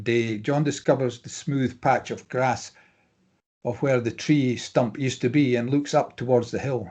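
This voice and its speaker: A man's voice: mid-range voice, darkish tone. A man reading out screenplay stage directions as scripted narration.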